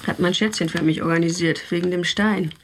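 A woman's voice: on the low side, steady tone, brisk, slight background noise, natural voice. An actress playing an earnest, mysterious self-styled witch with a pendulum in a radio drama. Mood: earnest secretive. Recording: long cavernous reverb, music behind.

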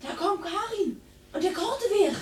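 A woman's voice: very high voice, animated, moderate pace. A woman playing a curious, eager twelve-year-old boy in a radio drama. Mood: alarmed. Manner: eager, childlike.

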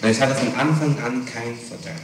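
A man's voice: medium pitch, fairly even intonation, a little fast. A man questioning someone as a police interrogator, formal and insistent, restating the answers he is given. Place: reverberant room, taped police interrogation. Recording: very noisy, echoey old tape, distant mic.

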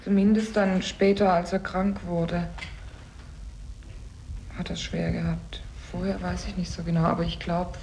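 Female interviewee, lowish warm tone, cautious and hedging; faint noise. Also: level tone, measured pace, gravelly, rough recording. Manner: tentative, hedging.

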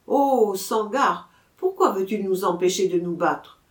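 Storybook bull, played by a woman: male, proud, challenging, very animated delivery, echoing room. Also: mid-range voice, a little slow, natural voice. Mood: defiant challenge. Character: proud defiant young fighting bull, male. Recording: roomy, echoing room sound.

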